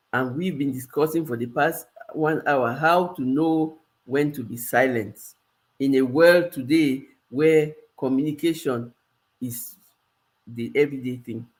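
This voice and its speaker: A Cameroonian-accented woman coaching her viewers in a live stream. She speaks earnestly, circling back and repeating her key phrases for emphasis. Her tone is that of a life coach giving advice. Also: sits low.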